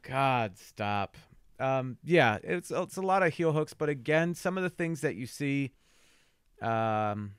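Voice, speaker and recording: A man's voice: medium pitch, lively intonation, takes it slowly, clean tone, no echo. A man co-hosting a podcast in casual chat, swearing casually and teasing, recorded on a close mic. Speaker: podcast co-host.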